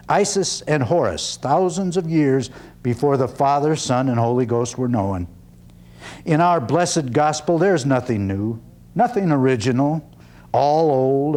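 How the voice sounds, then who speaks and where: A man performing a dramatic one-man stage reading as an earnest, skeptical 19th-century orator, with deliberate, emphatic phrasing and pauses. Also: medium pitch, warm tone, close to an everyday voice.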